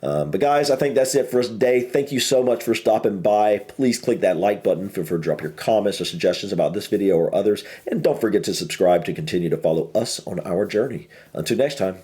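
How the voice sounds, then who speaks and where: American male host, hoarse, rambling, mellow tone. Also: on the low side, animated, fairly quick, hardly any pauses. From United States. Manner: rambling, hesitant, lots of fillers. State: losing his voice. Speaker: reaction video host. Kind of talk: casual reaction chat.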